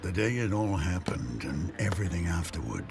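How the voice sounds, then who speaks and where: A grave narrator of a sci-fi audio drama, a man with a gravelly, very deep voice. His delivery is measured and solemn, with music playing behind him. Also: sing-song, exaggerated voice.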